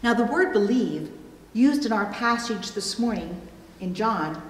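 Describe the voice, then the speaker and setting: A middle-aged woman pastor delivering a sermon in a measured, deliberate way, recorded in a church room. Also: mid-range voice, expressive. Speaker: pastor preaching. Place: indoor church room at a pulpit. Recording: echoey hall, long reverb, distant mic.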